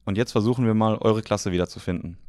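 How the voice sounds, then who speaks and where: A man's voice: on the low side, fast, a little mellow, dry recording, studio quality, close to an everyday voice. A man voicing a friendly young male footballer who talks in an easygoing, amused way.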